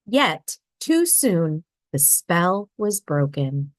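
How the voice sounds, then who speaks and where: A woman reading a children's picture book aloud in an expressive storybook manner. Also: fairly low pitch, drawn-out words, dry recording. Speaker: read-aloud narrator.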